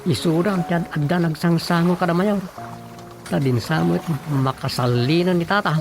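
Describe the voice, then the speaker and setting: A man's voice: on the high side, moderate pace, vocal fry. An actor playing a practical, inquisitive village councilman in a conversational comedic radio drama, with an Ilocano accent and music behind him.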